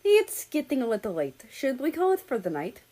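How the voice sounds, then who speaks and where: A woman's voice: high-pitched, huge pitch swings, fairly quick, warm tone, pitched above the performer's own voice, full character voice. A woman voicing a smug, playful male travel companion in dialogue from a story reading. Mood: casual.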